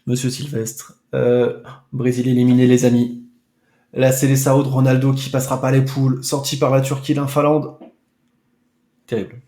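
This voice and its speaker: A man chatting casually over a retro football game stream, full of playful, mock-dramatic asides. His voice is mellow and soft-edged. The room around him rings with echo. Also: mid-range voice. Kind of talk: casual let's-play chat.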